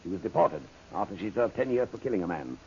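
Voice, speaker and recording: A man's voice: lowish voice, animated, quick pace, darkish tone. An actor playing a moralizing, loyal clergyman in an old radio serial, speaking with dramatic earnestness. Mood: disapproving. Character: earnest, moralizing reverend, loyal friend to the hero. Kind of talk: 1930s radio serial acting. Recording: hissy, muffled old-time radio recording.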